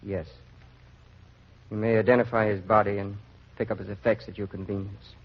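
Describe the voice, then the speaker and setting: An actor playing an impassive official in a 1940s radio drama, matter-of-fact and detached. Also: male voice, on the low side, level tone, normal speed, husky. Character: impassive male official delivering a death notice. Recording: muffled vintage radio recording.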